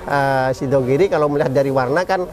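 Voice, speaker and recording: A man's voice: mid-range voice, fast, over a noisy crowd. A man from Indonesia answering interview questions conversationally as a committee representative, often repeating key words.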